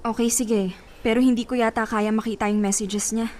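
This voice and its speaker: A woman's voice: a little high, fairly even intonation, brisk, a little mellow, slight background noise, lightly acted. A woman acting a heartbroken young woman in a Tagalog radio drama, clinging to a cheating boyfriend in a plaintive, hesitant way. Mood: sulky, uneasy. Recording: music bed behind the voice.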